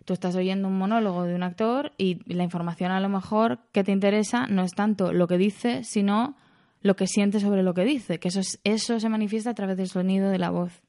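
A woman from Spain in a relaxed panel discussion, thinking aloud with pauses and restarts. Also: medium pitch. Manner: thoughtful, many fillers and restarts. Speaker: podcast panelist. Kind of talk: casual reflective discussion.

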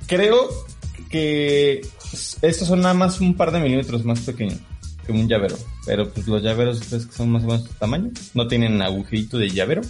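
A man's voice: medium pitch, brisk, darkish tone. A man with a Mexican accent chatting casually as a show co-host, explaining products in a livestream style. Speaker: show co-host presenting collectibles. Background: background music.

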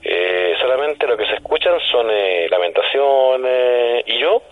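Man, Chilean accent, mid-pitched, conversational radio guest, questioning little hums, thin telephone sound. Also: lively intonation, a little slow, no room sound. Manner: punctuates statements with questioning hums. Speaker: guest paranormal commentator. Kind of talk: radio interview, conversational explaining. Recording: narrow, dull phone-line sound.

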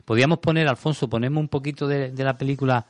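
A man's voice: medium pitch, fairly even intonation, quick pace, dry recording. A man on a radio show talking about a film in a thoughtful, rambling way, with many restarts. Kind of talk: casual radio chat. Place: radio studio.

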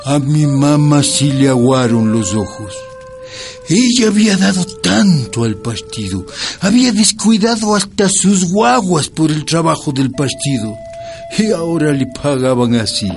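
A man's voice: on the high side, big pitch swings, unhurried, soft background music. An actor playing a grown son who recalls his mother in radio drama narration, plainly and reflectively, with an Ecuadorian accent. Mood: pained.

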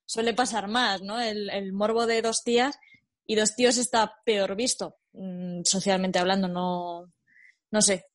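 A woman's voice: medium pitch, steady tone, unhurried. A young woman, giving her opinion colloquially in a casual chat.

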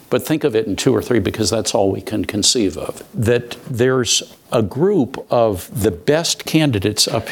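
A man speaking unscripted as a panelist, thinking aloud and rephrasing as he makes his argument. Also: mid-range voice.

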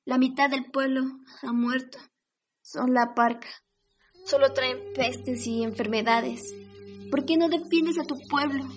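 Mujer haciendo de consejera azteca de voz aguda. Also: female voice, sits high, steady tone, measured pace, faint music behind. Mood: reproachful, alarmed. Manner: earnest, dramatic. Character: reproachful loyal female advisor to an Aztec emperor. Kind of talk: radio drama acting.

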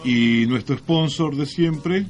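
A man's voice: a little high, slow pace, a little mellow, soft background music. A man with an Argentine accent co-hosting a casual radio show in a wry, tongue-in-cheek manner. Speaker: radio host. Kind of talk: casual co-hosted radio talk. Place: radio studio.

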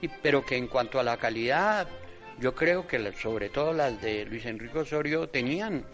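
Thoughtful older man, halting phrases. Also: medium pitch, lively intonation, fairly bright tone, faint music behind. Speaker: interviewee commenting on theatre history. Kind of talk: reflective interview talk.

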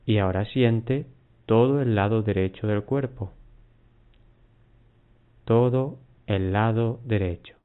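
A man guiding a mindfulness body scan, giving unhurried instructions in short phrases with long pauses. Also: lowish voice, clear, resonant tone. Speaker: meditation guide. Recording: muffled, phone-like narrow band.

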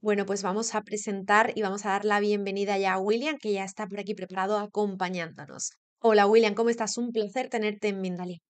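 A woman's voice: medium pitch, level tone, brisk, few pauses. A woman hosting an interview, formally introducing and welcoming her guest. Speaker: interview host. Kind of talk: scripted introduction.

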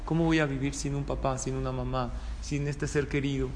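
A man's voice: medium pitch, flat delivery, brisk. Mexican man preaching in a reverberant hall. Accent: Mexican Spanish. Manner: conversational, checking in with listeners through rhetorical questions. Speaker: rabbi giving a talk. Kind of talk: informal religious sermon with anecdotes. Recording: reverberant hall, clear background room noise.

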